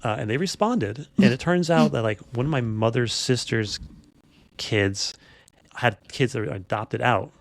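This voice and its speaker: A man's voice: mid-range voice, unhurried, creaky, no echo. A man hosting a podcast, chatting casually in an informal, rambling storytelling way. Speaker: podcast host. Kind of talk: casual unscripted podcast chat.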